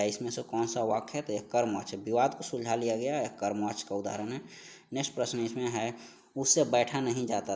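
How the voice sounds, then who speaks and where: A male teacher with a mid-pitched voice delivers an exam-prep lecture. He reads each question aloud, then explains it in a didactic manner, restating the key answer so it sticks. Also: a little mellow. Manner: didactic, repeats answers for emphasis.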